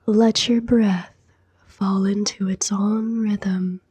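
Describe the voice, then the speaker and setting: A soft-spoken woman guides a sleep meditation in a very breathy whisper. Her tone is mellow and soothing, and she moves extremely slowly, leaving long pauses between short phrases. The sound is close and dry. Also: medium pitch, steady tone, no room sound.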